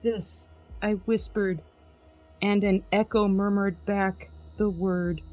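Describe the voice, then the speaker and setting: Slow-reading woman, dramatic poem. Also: mid-range voice, steady tone. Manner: measured, alternating lines with a co-reader. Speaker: podcast host. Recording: slightly muffled with faint music.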